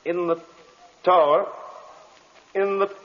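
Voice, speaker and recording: An actor playing the defiant, tormented soul of a sleeping magician, drawn-out and resisting, in old radio drama style. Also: male voice, high voice, steady tone, muffled recording. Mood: reluctant, entranced.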